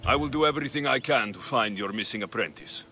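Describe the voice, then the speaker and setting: A man's voice: medium pitch, fast talker, pushed and bright, soft background music. A man playing a resolute young mercenary hero of ancient Greece in a video game, direct and determined. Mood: resolute, questioning. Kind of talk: scripted video game acting.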